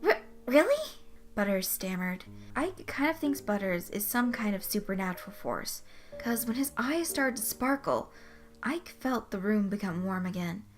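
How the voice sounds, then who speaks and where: A woman's voice: medium pitch, expressive, moderate pace, faint music behind. A woman reading scripted fan fiction as its narrator.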